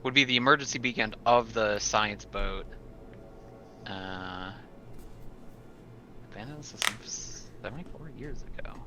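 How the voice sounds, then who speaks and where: A man's voice: lowish voice, unhurried, long pauses, loud music behind the voice. A man playing an online tabletop roleplaying game, chatting casually and tentatively as he thinks aloud. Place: indoors at a computer, online game session. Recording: dull lo-fi voice chat, background noise.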